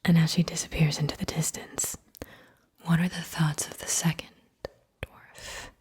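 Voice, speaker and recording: A woman whispering in a breathy voice as she hosts an ASMR guided visualization, speaking straight to the listener and softly checking in with questions. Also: low voice, little pitch movement, moderate pace. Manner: gentle direct address with soft check-in questions. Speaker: ASMR host guiding listeners.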